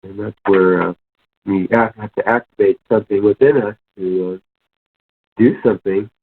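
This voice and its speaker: A man's voice: fairly low pitch, fairly even intonation, normal speed. A man giving informal spoken reflections in a discussion, hesitant and repetitive as he searches for words. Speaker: discussion participant. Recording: muffled, phone-like narrow sound.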